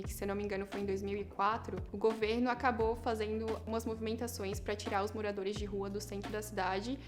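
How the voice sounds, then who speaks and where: A young woman from Brazil, chatting upbeat to her viewers in a casual vlog and stretching words for emphasis. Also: mid-range voice. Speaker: vlogger. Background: background music.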